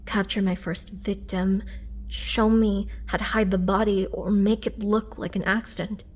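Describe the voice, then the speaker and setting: Casting: actress as young female vampire, once a peasant girl; vulnerable, sorrowful, very unhurried, mid-pitched, for intimate audio roleplay. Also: darkish tone, close to an everyday voice. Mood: tense, recollecting. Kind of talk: roleplay audio drama, intimate first-person monologue.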